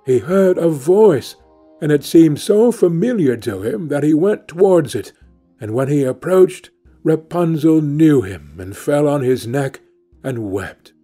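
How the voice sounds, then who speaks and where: A man narrating a scripted fairy tale as an audiobook reader, in a measured storytelling manner. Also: fairly high pitch, lively intonation, warm tone. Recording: music playing behind the voice. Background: music.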